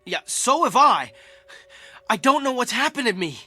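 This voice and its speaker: Bright-voiced, agitated husband. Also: male voice, very high-pitched, sing-song, normal speed, husky, dry recording, soft background music, full character voice. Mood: distraught. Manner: agitated, insistent, pleading. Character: distraught husband convinced his face is a stranger's. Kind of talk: radio drama acting.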